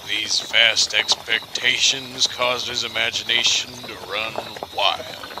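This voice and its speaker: A man playing a folksy, wry storyteller guide, narrating an audio drama in a theatrical, deliberate manner. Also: mid-range voice, background noise, close to an everyday voice. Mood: knowing, ominous.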